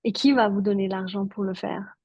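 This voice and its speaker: A chatty woman from Switzerland, a native Italian speaker, talks as a guest in an interview and leans on filler words. Her voice has a husky, slightly breathy edge. The sound is dry and a little dull, as over a video call. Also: medium pitch, fairly even intonation, moderate pace, mellow, no echo. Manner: chatty, many fillers like "en fait". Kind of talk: casual interview talk.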